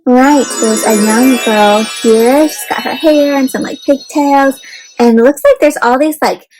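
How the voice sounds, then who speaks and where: Woman, higher-pitched voice, children's read-aloud host, teacher-like, expressive, encouraging, simple explanations. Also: a little slow, music in the background, low-quality recording. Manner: asks listeners questions, explains words simply. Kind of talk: interactive picture-book read-aloud for children.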